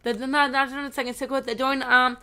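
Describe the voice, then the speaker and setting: A woman with a fairly high, bright and very clear voice chats as a podcast co-host. She speaks casually in short, choppy phrases, often repeating herself or starting over. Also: little pitch movement, fast talker. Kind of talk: casual chat about video game movies.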